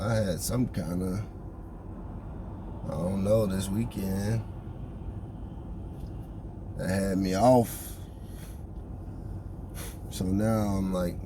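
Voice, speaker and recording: Stuffy-nosed man with a low voice, unhurried, reflective, in a car with heavy road noise. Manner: slow, halting, thinking aloud with restarts. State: congested. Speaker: vlogger. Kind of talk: casual reflective monologue. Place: inside a car on the way to work.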